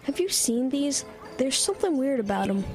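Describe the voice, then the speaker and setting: A woman playing a wary, bookish younger teenage brother who speaks in a hesitant, halting way in a horror radio drama. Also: high voice, big pitch swings. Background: music score.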